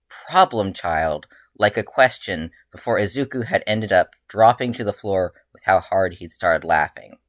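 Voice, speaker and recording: A person reading their own fan fiction aloud as narrator, with expressive, emphatic delivery. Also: low-pitched, no room sound, studio quality.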